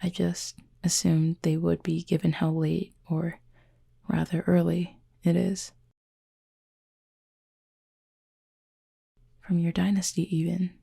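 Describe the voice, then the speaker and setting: A woman playing an injured fallen cupid in an intimate roleplay audio, soft and breathy, with a formal, measured, dryly aloof but curious manner. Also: on the low side, level tone, full character voice. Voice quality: soft, breathy, husky with fry. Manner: measured, formal, wordy phrasing.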